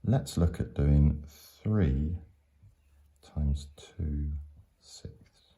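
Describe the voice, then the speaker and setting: A man with a low, creaky voice gives a step-by-step maths lesson. He speaks slowly and patiently, repeating his points like a teacher explaining to a learner. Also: warm tone.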